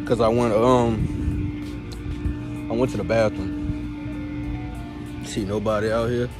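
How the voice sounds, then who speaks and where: A teenage boy talking to a handheld camera as he walks through school, loose and slangy, teasing and laughing. Music plays clearly behind him. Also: fairly low pitch, steady tone, takes it slowly. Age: teens. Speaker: vlogger and street interviewer. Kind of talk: casual vlog chat and joking interviews. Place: school hallways and grounds.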